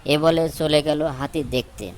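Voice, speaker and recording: A man's voice: a little high, fairly even intonation, a little slow, a little hiss or hum. A man working as a storyteller, reading a scripted fable for children.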